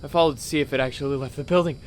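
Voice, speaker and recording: A man's voice: medium pitch, steady tone, rapid-fire, background noise, close to an everyday voice. A man playing a scared, stammering podcaster. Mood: frightened. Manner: tense, stammering, hushed recounting. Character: frightened young male podcaster hiding from a creature. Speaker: podcaster character. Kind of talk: radio drama acting.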